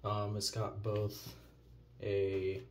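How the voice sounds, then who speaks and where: A man's voice: fairly low pitch, monotone, extremely slow. An American man giving a casual, offhand product demonstration as a reviewer, recorded indoors at a countertop. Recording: noticeable noise, handling clicks.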